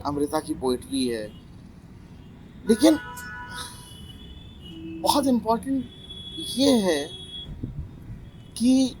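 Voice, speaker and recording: A man's voice: high-pitched, slight background noise, poor audio. A man hosting a casual chat about poetry, telling stories with animation and teasing his guests.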